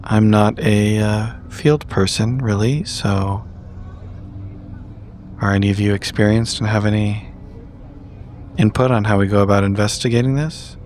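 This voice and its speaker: A man playing a cautious, analytical desk agent in a tabletop roleplay, speaking in a measured, rather formal way. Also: fairly low pitch, steady tone, darkish tone, a little hiss or hum, natural voice. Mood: careful, analytical. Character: cautious, analytical intelligence agent, not a field person. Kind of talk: improvised tabletop roleplay dialogue.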